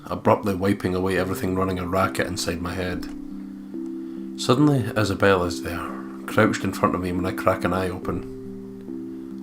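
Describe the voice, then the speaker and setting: A low male voice reads visual novel narration aloud as a let's play host, with casual, playful asides. Clearly audible guitar music plays underneath him. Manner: playful, breaks into laughter and asides.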